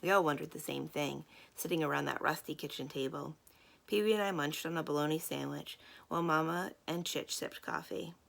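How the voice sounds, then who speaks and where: Low-voiced woman reading aloud, creaky edge. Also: brisk, a bit bright. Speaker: library storyteller reading a children's novel aloud. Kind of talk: scripted read-aloud narration of a children's novel.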